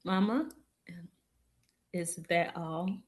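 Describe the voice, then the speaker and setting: An actress playing an earnest young daughter from a village in a staged drama, halting and repeating her words. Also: on the low side, expressive. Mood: affectionate. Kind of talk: staged script read of a drama.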